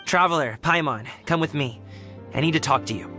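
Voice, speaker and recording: A man's voice: fairly high pitch, a little fast, music in the background, natural voice. An actor playing a perceptive young man in a video game, casual, direct and confident. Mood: guarded. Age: young adult.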